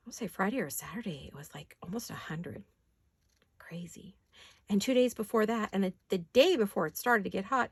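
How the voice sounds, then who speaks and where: A woman with a husky, gravelly voice talks viewers through her crafting in a home studio. She chats loosely and mutters to herself as she works, sometimes dropping to a whisper. A faint hiss and small clicks sit under her voice. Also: lowish voice. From California. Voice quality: raspy. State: raspy voice from allergies. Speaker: craft vlogger. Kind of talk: casual craft chat while working.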